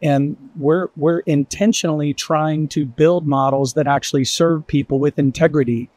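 A man with a somewhat higher voice weighs his words carefully on a discussion panel. He is recorded close, with a dry sound. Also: steady tone, normal speed, a little mellow, no room sound. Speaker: roundtable guest.

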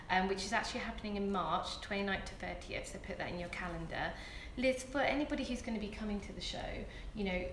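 A young woman hosting a casual interview for a beauty magazine, friendly and quick with short backchannels. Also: medium pitch. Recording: echoey room, faint background noise.

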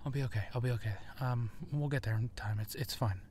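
Man acting halting, self-effacing werewolf guy, noisy background. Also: medium pitch, darkish tone, close to an everyday voice. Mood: nervous, reassuring. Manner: halting, conversational, reassuring. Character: friendly, self-effacing man who secretly turns werewolf. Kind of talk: roleplay audio drama acting, intimate ASMR.

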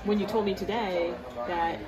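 A woman's voice: medium pitch, steady tone, normal speed, rough recording. A woman in her thirties making casual table talk in a dry, matter-of-fact way, picked up by a phone across the table. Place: small pub booth. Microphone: phone camera across table. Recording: busy restaurant chatter behind.

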